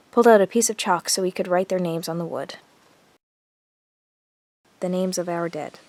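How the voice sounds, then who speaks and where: A woman's voice: mid-range voice, natural voice. An actress playing an earnest teenage girl in a zombie audio drama, confiding and reflective as she narrates her diary. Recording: music under the voice. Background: music.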